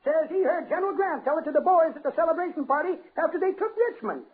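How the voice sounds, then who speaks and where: Old-timer for radio comedy: chatty tall-tale teller, piping high voice, muffled phone-like vintage sound. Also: male voice, animated, fast talker. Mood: folksy. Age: older. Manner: rambling, folksy, eager. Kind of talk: 1950s radio comedy acting. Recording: muffled narrow-band 1950s radio recording.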